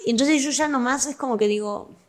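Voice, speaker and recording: A woman's voice: sits high, fairly quick. A young woman with an Argentine accent, a comedic actress telling stories animatedly as a podcast guest and acting out quoted dialogue. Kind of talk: casual interview chat.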